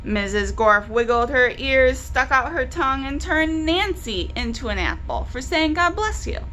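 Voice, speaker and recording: A woman's voice: high voice, expressive, measured pace, sharp tone, slight background noise. A woman storyteller narrating a children's story aloud, expressively and directly to young listeners. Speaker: library storyteller.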